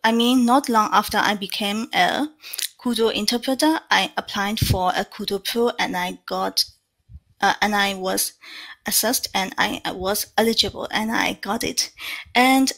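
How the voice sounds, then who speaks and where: A woman's voice: mid-range voice, a bit bright. Burmese-accented woman interpreter on a call. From Myanmar. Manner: hesitant, many fillers and restarts. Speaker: interpreter guest. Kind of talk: interview answers.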